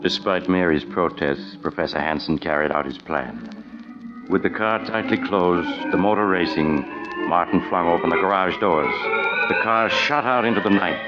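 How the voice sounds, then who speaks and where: A man's voice: deep voice, normal speed, over loud music, close to an everyday voice. A man narrating an old-time radio drama in a solemn, dramatic scripted style. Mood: suspenseful. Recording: muffled old radio recording.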